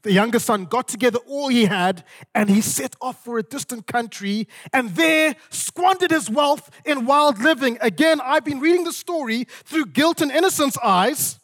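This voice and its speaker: A man from Zimbabwe, preaching an expository sermon with storytelling, in deliberate, dramatic phrases that repeat for emphasis. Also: very high voice, animated, fairly bright tone.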